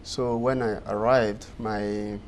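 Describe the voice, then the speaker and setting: A man in his thirties from Guinea, a native French speaker, answering interview questions in an earnest, measured way. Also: fairly low pitch, little pitch movement, a little mellow, slight background noise. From Guinea, West Africa. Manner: earnest, measured, laughs easily. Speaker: guest.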